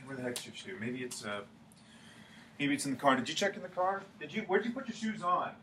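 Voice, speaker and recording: A man's voice: on the high side, brisk, pushed and bright. A man playing a defensive, oblivious working husband in a staged play reading, hesitant and repetitive with his questions. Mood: puzzled, uneasy. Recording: echoey live room, distant mic, faint noise.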